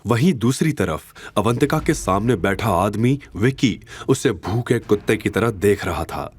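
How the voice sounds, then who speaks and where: A man narrating a scripted story in a dramatic, expressive and suspenseful manner. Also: fairly low pitch, measured pace, slightly rough, no room sound.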